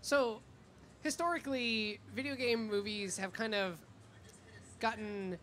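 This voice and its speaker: A woman's voice: on the high side, unhurried, a bit bright. A woman hosts a live convention panel with faint noise around her. Her delivery is informal and chatty, full of slangy filler as she puts questions to a guest. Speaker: panel host. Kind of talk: casual live hosting. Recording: noisy live event room.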